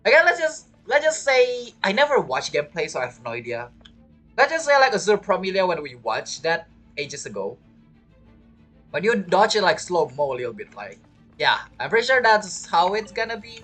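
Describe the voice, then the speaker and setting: A woman's voice: fairly low pitch, very animated, moderate pace, a bit bright. A woman who is not a native English speaker, chatting casually while livestreaming a game in a hesitant, rambling way that circles back on itself. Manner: hesitant, rambling, repeats phrases. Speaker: game streamer. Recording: game music behind the voice. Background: video game music and character voices.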